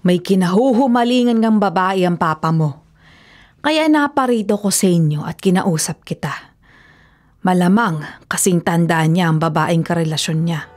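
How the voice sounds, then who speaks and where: An actress playing a domineering, jealous older ex-wife in a Filipino radio drama, scolding and accusing with scorn. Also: female voice, fairly low pitch, normal speed, darkish tone, lightly acted. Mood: stern, vengeful. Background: music.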